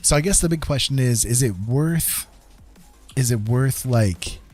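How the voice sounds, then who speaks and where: A man's voice: medium pitch, unhurried, darkish tone. A man streaming a game with casual chat, thinking aloud in a rambling way and often repeating phrases. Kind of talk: casual gaming livestream chat. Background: game music.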